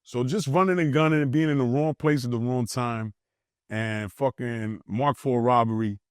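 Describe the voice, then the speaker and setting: A man's voice: mid-range voice, steady tone, normal speed, clean tone, no echo. New man, guest, blunt streetwise storyteller, dry close sound. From New York City. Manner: blunt, streetwise slang, reflective. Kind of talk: candid conversational storytelling.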